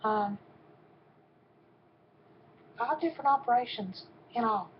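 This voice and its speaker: A woman in her fifties with a soft voice, giving a personal testimony in a halting way with frequent pauses and ums. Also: fairly high pitch, animated, a little hiss or hum. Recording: dull, lo-fi recording.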